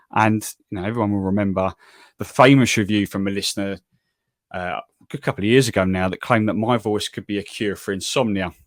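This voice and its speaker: A man with an English accent hosting a football podcast, talking calmly and evenly in a self-deprecating way. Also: on the low side, no room sound, clean studio sound. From England. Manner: calm, even, self-deprecating. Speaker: podcast host. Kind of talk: solo podcast monologue and interview.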